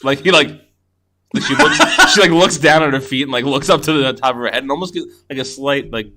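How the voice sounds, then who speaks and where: A man's voice: mid-range voice, brisk, bright, forceful tone, a little hiss or hum. A man co-hosting a podcast, chatting casually about films and often chuckling as he recounts scenes. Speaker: podcast co-host. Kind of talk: casual film chat.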